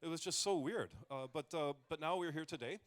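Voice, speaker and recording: A man's voice: a little high, brisk. Man, forties, comedian, casual stage talk, joking asides. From United States. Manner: joking asides, rambling with restarts.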